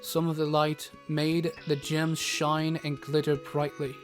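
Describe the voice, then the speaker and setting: A man's voice: fairly high pitch, monotone, takes it slowly. A man narrating a scripted fantasy audio drama in the first person, with formal storybook wording. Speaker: narrator, in character as a travelling doctor. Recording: music bed under narration.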